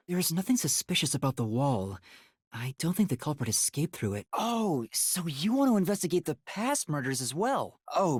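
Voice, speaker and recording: A man voicing a timid, earnest young male trainee detective in an anime-style video game, with a youthful, breathy voice and a polite, hesitant manner. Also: fairly high pitch, no room sound, studio quality, exaggerated voice. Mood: focused, then surprised, flustered.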